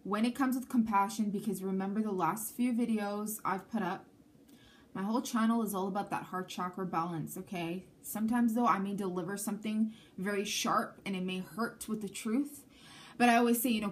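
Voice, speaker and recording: A woman from Canada reads tarot cards straight to her viewers, talking in a loose, chatty way and often checking that they follow. Her first language is Armenian. Her voice sits in an ordinary middle pitch. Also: fairly even intonation, brisk. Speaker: tarot reader. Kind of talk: intuitive tarot reading to camera.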